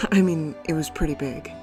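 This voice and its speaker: A woman's voice: deep voice, measured pace, over music. A woman voicing an earnest, friendly young female adventurer in conversational video game dialogue. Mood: teasing.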